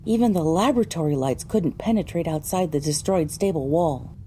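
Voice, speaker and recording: A woman's voice: low-pitched, fairly quick, warm tone, slight background noise. A woman delivering scripted, first-person narration for an audio drama.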